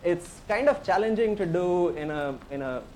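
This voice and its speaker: A man's voice: high-pitched, lively intonation, normal speed, a little mellow, a little hiss or hum, rough recording. A man with an Indian accent, a professor giving a technical tutorial, explaining step by step in a conversational way and inviting questions. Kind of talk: technical lecture.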